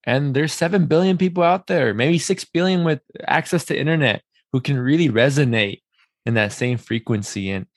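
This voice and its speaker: A man's voice: medium pitch, moderate pace, dry recording, clean studio sound. A man hosting a casual interview, thinking aloud in a rambling way and repeating words for emphasis. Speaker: podcast host.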